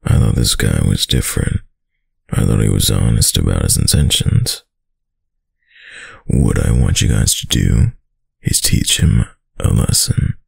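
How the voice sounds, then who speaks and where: A man playing a dominant, doting yet menacing mafia heir boyfriend in a roleplay ASMR audio drama, with a deep, husky, gravelly voice and a smug tough-guy drawl. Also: a little mellow, no room sound, clean studio sound, full character voice. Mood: cold, menacing.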